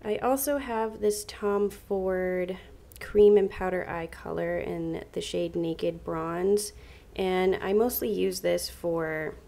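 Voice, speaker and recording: A young woman with a stuffy, nasal head-cold voice talks through her makeup collection in a chatty, enthusiastic way. Her voice sits fairly low, with a gravelly fry at the ends of her phrases. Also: warm tone. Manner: chatty, enthusiastic, uses fillers like um and like. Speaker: beauty vlogger. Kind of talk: casual product walkthrough. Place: home room with vanity desk.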